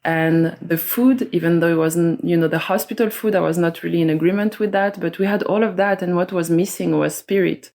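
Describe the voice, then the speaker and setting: A middle-aged woman from Switzerland, a guest speaking slowly and softly in a reflective interview, often pausing to search for words. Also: lowish voice. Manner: slow, soft-spoken, searching for words. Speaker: guest, somatic educator and astrologer.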